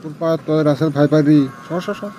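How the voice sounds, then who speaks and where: A man's voice: on the high side, fairly even intonation, fairly quick, dark tone, a little hiss or hum, lightly acted. A man voicing a cocky, boastful young village friend in a comedy cartoon, teasing in a northern Bangladeshi accent. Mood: mischievous. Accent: northern Bangladeshi regional. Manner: bragging, teasing, colloquial. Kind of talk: comic cartoon dialogue.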